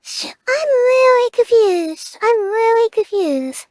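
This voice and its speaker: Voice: extremely high pitch, animated, takes it slowly, darkish tone, dry recording. A teenager hosting a gameplay video with casual, hesitant commentary that often repeats and rephrases.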